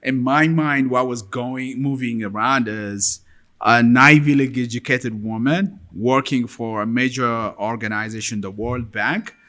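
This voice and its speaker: A man hosting a podcast interview, asking questions in a measured way with frequent fillers and short affirmations. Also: mid-range voice, fairly even intonation, a bit bright. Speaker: podcast host.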